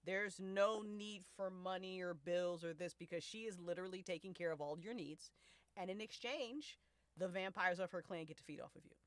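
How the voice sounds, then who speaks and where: A woman's voice: on the low side, moderate pace, no echo. A woman talking casually and emphatically as a fiction podcast creator, with informal, sweary phrasing. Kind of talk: casual, animated discussion.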